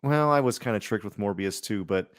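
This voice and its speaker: A man's voice: lowish voice, brisk, no echo. Rambling man chatting casually, close dry sound. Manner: rambling, informal, slangy. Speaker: podcast co-host. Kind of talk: casual chat about superhero films.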